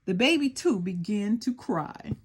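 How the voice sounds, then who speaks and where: A woman reading a children's story aloud in an animated, expressive storytelling manner. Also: mid-range voice, a little slow, slight background noise. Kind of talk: animated scripted read-aloud narration for children.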